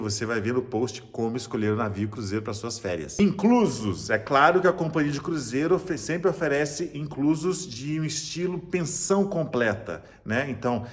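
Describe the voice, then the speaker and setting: Brazilian guy talking cruise tips, mid pitch, upbeat and salesy, lots of restarts. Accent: Brazilian Portuguese. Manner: chatty, enthusiastic, salesy, with frequent restarts. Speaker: cruise travel agent and vlogger. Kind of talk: casual tips vlog to camera.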